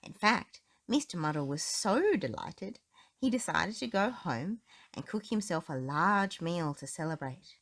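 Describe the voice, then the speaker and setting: A woman with a slightly low voice reads a children's storybook aloud. Her narration is expressive, with very lively swings in pitch. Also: measured pace.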